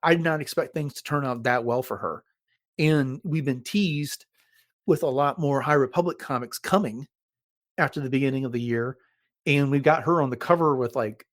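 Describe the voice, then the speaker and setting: A man's voice: medium pitch, normal speed. Man chatting casually as a podcast host. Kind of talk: casual discussion recapping and reviewing a story.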